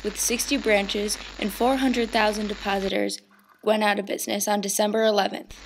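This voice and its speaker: A woman's voice: mid-range voice, noisy background, natural voice. A woman playing a 1930s radio anchor, hosting a scripted evening news broadcast. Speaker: host.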